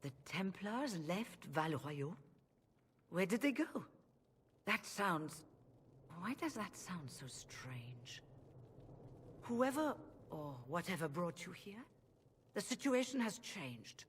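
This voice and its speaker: Middle-aged female mage leader, formal, deliberate, dignified, fantasy game, hall reverb. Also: lowish voice, big pitch swings. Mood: formal, troubled. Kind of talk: video game dialogue. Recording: long hall reverb, faint ambient noise.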